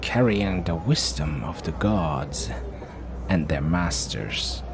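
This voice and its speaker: A man's voice: deep voice, animated, slow pace, background noise, heavily acted. A man voicing a sly, giggling hooded male relic trader with a boastful, wheedling manner.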